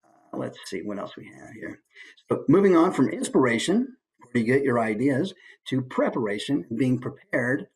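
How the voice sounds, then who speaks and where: A man's voice: mid-range voice, very animated, normal speed, rough recording. A man who works as a voice actor, giving a casual, conversational instructional presentation with easygoing asides.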